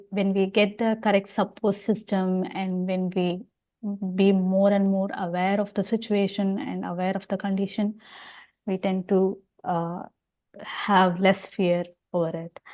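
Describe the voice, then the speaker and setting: A woman's voice: mid-range voice, flat delivery, unhurried, warm tone. Woman host, supportive, muffled audio. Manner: supportive interviewer, hesitant restarts. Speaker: podcast host. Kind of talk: interviewing.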